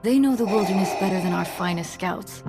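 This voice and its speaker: An actress playing a stern, composed government official in a video game, speaking in a measured, formal way. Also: female voice, mid-range voice, over music, lightly acted. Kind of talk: video game cutscene acting.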